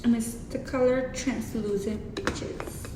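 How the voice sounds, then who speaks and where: A woman's voice: on the high side, fairly even intonation, takes it slowly. A young woman with a Mexican accent doing a casual, profane makeup tutorial with chatty asides. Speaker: beauty vlogger. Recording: echoey room with hiss.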